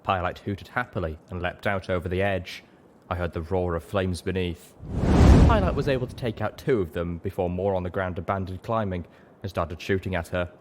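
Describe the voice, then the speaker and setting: A man with a low voice narrates a story. His delivery is dramatic and scripted, in the manner of an audiobook. The recording has clear noise behind him. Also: brisk.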